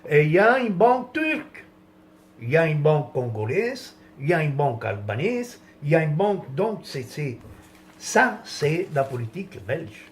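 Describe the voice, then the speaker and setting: A man's voice: a little high, very animated, moderate pace, slight background noise. A man from France in a rambling, indignant rant, with many restarts and trailing phrases.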